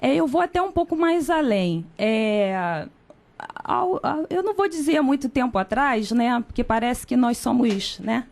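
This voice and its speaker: Brazilian woman lawyer, slightly high voice. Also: lively intonation, gravelly, a little mellow. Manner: measured, formal. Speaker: lawyer and candidate. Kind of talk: radio interview.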